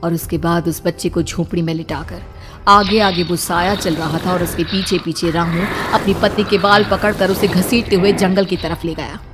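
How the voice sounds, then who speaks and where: A woman's voice: fairly low pitch, steady tone, moderate pace, over music. A woman narrator reading a scripted horror story in a dramatic style.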